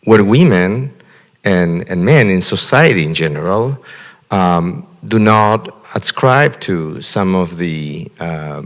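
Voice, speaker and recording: Low-voiced Argentinian man moderating a panel. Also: animated, slow pace, in a large hall. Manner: hesitant, many fillers and restarts. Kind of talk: panel discussion.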